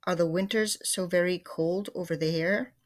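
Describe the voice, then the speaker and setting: A woman's voice: on the low side, fairly even intonation, moderate pace, close to an everyday voice. A woman voicing an anxious, caring monkey father who asks worried, eager questions.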